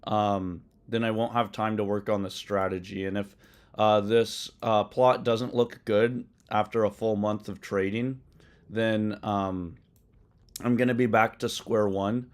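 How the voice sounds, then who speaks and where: A man hosting a live coding stream, talking loosely with many ums and uhs and correcting himself as he goes, his voice a bit hoarse. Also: on the low side, flat delivery, measured pace. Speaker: livestream host coding a trading tool. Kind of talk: casual live-stream explanation.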